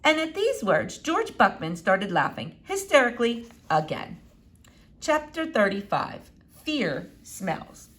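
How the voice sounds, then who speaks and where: A woman reading a children's novel aloud in an expressive, animated way with dramatic pauses. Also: medium pitch. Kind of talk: expressive storybook read-aloud.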